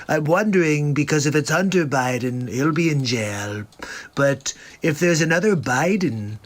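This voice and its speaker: A man hosting a comedy livestream talks over the clips he plays in a somewhat high voice. His asides are sarcastic and incredulous, and he sounds like he is streaming from a home setup. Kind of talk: mocking commentary between clips.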